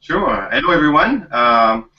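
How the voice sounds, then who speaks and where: A male museum curator talking casually on a video chat, halting with many fillers. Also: mid-range voice, very animated, recorded from a distance, highs cut off. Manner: halting, frequent "uh".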